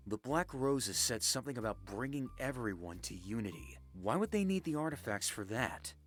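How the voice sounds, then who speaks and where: Man playing a calm, level-headed young planner. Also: mid-range voice, moderate pace, faint music behind, natural voice. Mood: determined. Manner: calm, reasoning. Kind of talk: amateur visual novel voice acting.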